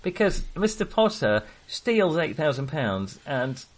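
A man's voice: on the high side, very animated, measured pace. A man co-hosting a casual film discussion, chatty, enthusiastic and full of opinions. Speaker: film podcast co-host.

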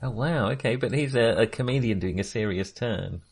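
A man's voice: medium pitch, moderate pace, husky. A man talking casually as a podcast co-host in a film discussion, with a chatty, hesitant delivery.

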